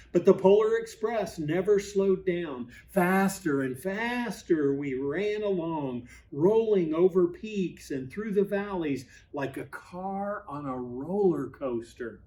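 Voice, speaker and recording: A man narrates a children's story very slowly, in a slightly higher male register. His phrasing is measured and expressive, with dramatic pauses. The mic sits a little way from him. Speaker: pastor reading a Christmas story aloud. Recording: voice at a distance from mic.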